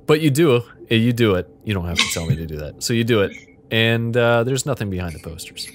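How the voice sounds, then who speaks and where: A man's voice: mid-range voice, expressive, brisk. A man hosting as game master, narrating an improvised interactive fiction game and often chuckling as he goes. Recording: clearly noisy background.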